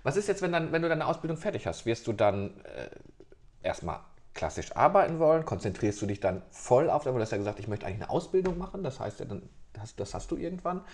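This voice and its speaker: Male journalist interviewer, conversational and rambling, middle-pitched voice. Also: big pitch swings, fast talker, darkish tone. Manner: chatty, rambling questions with restarts. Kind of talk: casual interview.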